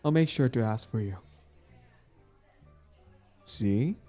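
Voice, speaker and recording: A man acting out a smug but smitten villain on a date, smooth-talking and casual, in an intimate audio roleplay. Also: mid-range voice, expressive, takes it slowly, dark tone, soft background music. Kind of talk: intimate scripted boyfriend-style audio roleplay.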